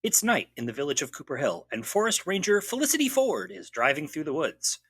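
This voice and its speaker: A man's voice: on the high side, huge pitch swings, fairly quick, fairly bright tone, husky, no room sound. A man hosting a podcast, giving a wry, jokey recap of a TV mystery episode. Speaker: podcast host. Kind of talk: scripted recap narration.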